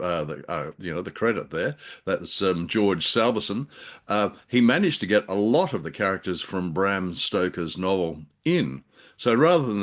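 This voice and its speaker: An older man with a fairly low voice hosts a casual review chat from indoors on a video call. He rambles a little, feeling his way through sentences with a wry, joking touch. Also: fairly bright tone. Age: older, around 70. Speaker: radio show host.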